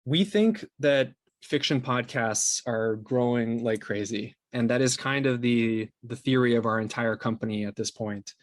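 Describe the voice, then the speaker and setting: Man, interview guest, mid-pitched voice, conversational, explanatory, repeats words, close dry recording. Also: measured pace, hardly any pauses, no echo. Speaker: interview guest, podcast co-founder and sound designer.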